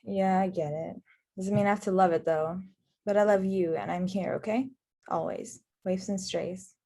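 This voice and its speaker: A woman playing an affectionate, worried, wry girlfriend in a screenplay table read, with a husky, gravelly voice. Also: mid-range voice, steady tone, moderate pace, dark tone, natural voice. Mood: reluctant, loving.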